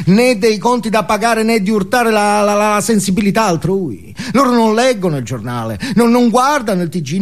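A male actor plays a brash motivational guru on stage. The man's voice sits high for a male, and he preaches with emphatic urging and rhetorical questions. His temper is selfish and cynical beneath the uplift. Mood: preachy. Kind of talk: theatrical comic monologue as motivational speech.

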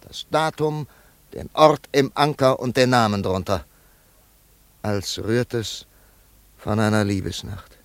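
An actor playing a wealthy, grieving older father in a German radio play, brooding and yearning in a halting monologue. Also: fairly low pitch, fairly even intonation, dry recording. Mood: wistful, brooding. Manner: slow, halting, brooding delivery with sudden swells.

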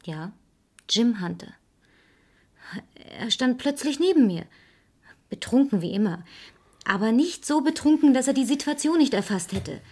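A woman's voice: fairly high pitch, animated, a little slow, long pauses, a little mellow. An actress playing a resolute, reproachful woman who confronts others pointedly and dramatically in a vintage German crime radio play. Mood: warning, tense.

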